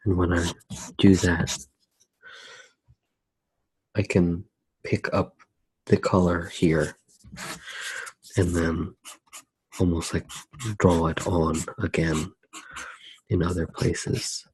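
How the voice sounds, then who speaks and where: A soft-spoken man narrating an ASMR drawing demonstration in an unhurried, hesitant way. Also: deep voice, flat delivery, husky, no echo, rough recording. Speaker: ASMR artist, host.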